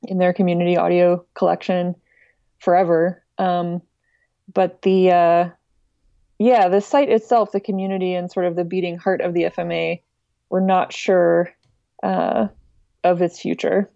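A woman answering as an interview guest, explaining things casually but in a measured, careful, slightly hesitant way. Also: fairly low pitch, level tone, gravelly, mellow, no echo, dull audio. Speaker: podcast guest, music archive director.

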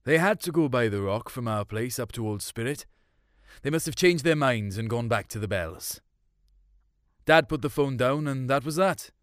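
A man's voice: medium pitch, animated, moderate pace, no echo, studio quality, lightly acted. Man playing a wistful Welsh hill farmer reminiscing. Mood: troubled, wistful. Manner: colloquial, dialect grammar, wistful. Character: Uncle Jack, big bearded Welsh hill farmer reminiscing fondly. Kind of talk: storytelling short-story reading.

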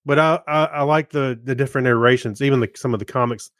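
A man co-hosting a podcast, reviewing things casually in a halting, self-correcting way. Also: medium pitch, a bit bright, no room sound, studio quality. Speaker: podcast co-host.

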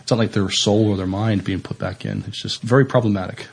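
A man in a casual podcast discussion, thinking aloud haltingly and often repeating his words. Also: fairly low pitch, fairly even intonation, creaky, husky. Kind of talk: casual discussion of TV science fiction.

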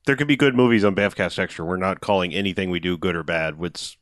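Male co-host, low voice, blunt and casual, dry close mic. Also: fast talker, a bit bright, no echo. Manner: frank, offhand, swears casually. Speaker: podcast co-host. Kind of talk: casual podcast chat.

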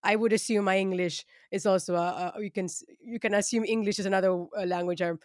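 A woman's voice: mid-range voice, fairly even intonation, quick pace, darkish tone, no room sound, clean studio sound. A woman podcast co-host, speaking thoughtfully with frequent restarts in a casual panel discussion. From Latin America. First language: Spanish.

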